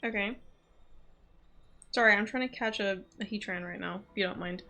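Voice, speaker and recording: A woman's voice: medium pitch, normal speed, sharp tone. A woman livestreaming a game, chatting casually and distractedly, swearing now and then and reading dialogue aloud. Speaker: streamer.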